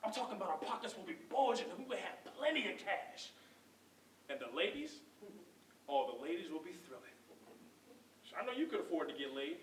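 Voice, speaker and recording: A man performing an eager, scheming hustler in a theatrical monologue, talking persuasive, excited street talk. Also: sits high, slight background noise, voice pushed up. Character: eager, scheming, smooth-talking hustler pitching his brother. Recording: echoey room from distant mic.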